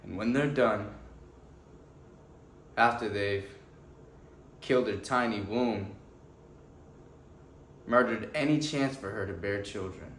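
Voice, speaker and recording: An actor playing an earnest, anguished defense lawyer pleading to a jury, delivering a deliberate, emotional courtroom monologue with weighted pauses. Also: male voice, fairly low pitch, a bit bright. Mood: grim, anguished. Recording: echoey room with faint background noise.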